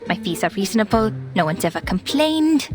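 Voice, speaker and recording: A woman playing a shrewd, proud unlicensed psychiatrist in a video game, speaking in a brisk, wry and self-assured way. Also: mid-range voice, big pitch swings, music in the background, close to an everyday voice. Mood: exasperated.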